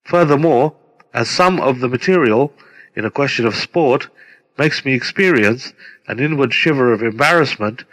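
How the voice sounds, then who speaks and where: A middle-aged man reading a scripted introduction as a presenter, deliberate and formal. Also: medium pitch, a bit bright. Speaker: presenter introducing his own radio play. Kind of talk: scripted narration. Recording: long hall-like reverb.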